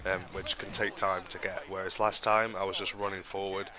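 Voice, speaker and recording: A young man talks over his boxing game in a low, nasal voice, his nose blocked by a cold. His delivery stays flat and even, wandering through ums and restarted sentences as he explains his tactics. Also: a little fast. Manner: rambling, many ums and restarts. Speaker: gaming commentator. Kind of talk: casual gameplay commentary.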